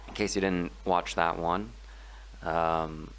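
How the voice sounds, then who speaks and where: A man explaining a grammar exercise in a tutorial, speaking in a slow, measured way with frequent pauses and filler sounds. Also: low-pitched, fairly even intonation, fairly bright tone, a little hiss or hum, poor audio. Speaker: language tutor. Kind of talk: screen-recorded grammar tutorial.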